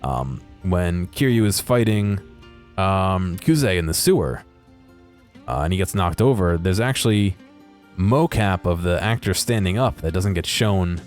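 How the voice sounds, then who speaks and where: A man with a low voice talks through game footage in a casual, unscripted way. He is hesitant and understated, rambling a little as he goes. Music plays behind his commentary. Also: animated, unhurried, darkish tone. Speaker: video creator commentating on game exploration.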